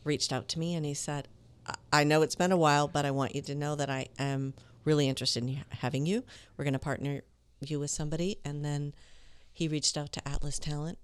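A woman voice actor with a low, smooth voice that carries some authority, telling stories from her career in an interview and acting out the dialogue. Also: a little fast. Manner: animated, tells stories with quoted dialogue. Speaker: voice actor guest. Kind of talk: conversational interview storytelling.

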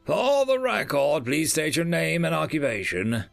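A man's voice: wildly swooping pitch, unhurried, creaky, no echo, soft background music, heavily acted. A man voicing a stern male general who questions and commands with authority in an audiobook. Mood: measured, probing. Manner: authoritative, booming when angered. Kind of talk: audiobook character dialogue.

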